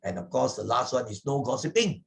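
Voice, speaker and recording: A man with a Malaysian accent giving an explanatory moral lesson as a teacher, deliberate and didactic, stressing and repeating key words. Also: medium pitch, expressive, vocal fry. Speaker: Buddhist Sunday school teacher.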